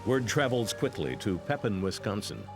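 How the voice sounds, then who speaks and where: A man narrating a true-crime documentary in a scripted, dramatic and deliberate style. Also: on the low side, big pitch swings, over music.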